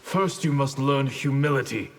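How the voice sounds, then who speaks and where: A man's voice: medium pitch, moderate pace, noisy background, lightly acted. A man voicing a disciplined, formal ninja mentor in a video game, admonishing and composed. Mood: stern, composed. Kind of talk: video game character banter. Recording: reverberant hall.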